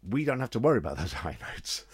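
A man hosting a radio music show, interviewing a guest in a relaxed, curious way. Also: medium pitch, quick pace, husky, dry recording. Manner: animated, curious questioning.

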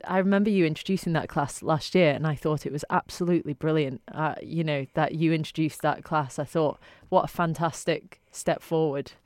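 A woman with a Manx accent and a deep voice for a woman, presenting a radio arts show with playful scripted links. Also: fairly even intonation, brisk, few pauses, darkish tone, no echo, studio quality.

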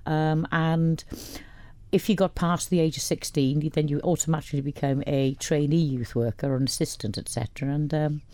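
A woman's voice: low-pitched, fairly even intonation, moderate pace, mellow, no echo. A woman from Wales in her later years, a radio guest chatting casually about her life, rambling with lots of restarts. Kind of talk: casual interview chat.

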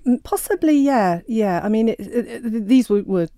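Author guest, woman, mellow lowish voice, chatty and hesitant, dry close sound. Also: lively intonation, normal speed, dry recording. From Sheffield, England. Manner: chatty, hesitant with many ums and restarts. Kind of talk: radio interview.

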